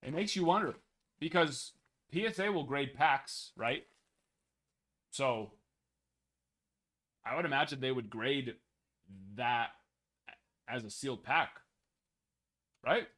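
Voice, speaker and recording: A young man hosting a live card break, chatting casually and reading numbers off in a steady list, his voice a little worn. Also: mid-range voice, slow pace, pauses often. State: losing his voice.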